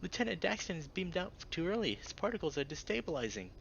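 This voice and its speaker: A man's voice: high-pitched, big pitch swings, quick pace, vocal fry, fairly bright tone, pitched above the performer's own voice, exaggerated voice. Guy reading as chill slangy furry dude in a visual novel, playful teasing, dull mic w noise. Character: laid-back, teasing young male furry character Carl.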